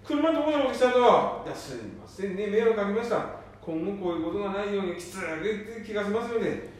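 A man playing a gruff middle-aged son with a Nanbu dialect in an amateur radio drama. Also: high-pitched, animated, fast talker, darkish tone. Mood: apologetic, scolding. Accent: Nanbu dialect, northeastern Japan. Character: middle-aged eldest son, gruff, embarrassed by his father. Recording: very echoey, distant, faint noise.

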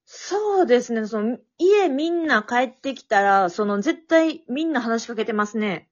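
A woman's voice: a little high, animated, normal speed. A young adult woman chatting casually and politely, often searching for words. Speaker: amateur voice actor, guest. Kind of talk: casual chat. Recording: dull lo-fi audio.

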